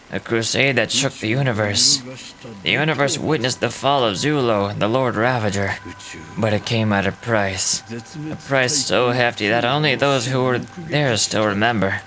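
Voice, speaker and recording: A man voicing a weary, solemn old guide of lost souls in a game, reading dramatically in a grave, measured, reflective way. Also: fairly low pitch, close to an everyday voice, low-quality recording. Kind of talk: dramatic read-aloud of game dialogue.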